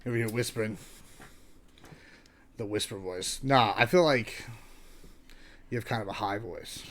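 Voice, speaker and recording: A young woman hosting a casual podcast chat, loud and profane, calling people "bro". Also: a bass voice, a little slow. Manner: loud, swearing, says "bro" a lot. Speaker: podcast host.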